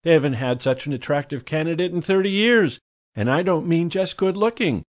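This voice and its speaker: A man doing a gushing high society lady. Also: expressive, brisk, natural voice. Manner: breathless, fawning, emphatic. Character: gushing pushy society woman volunteer.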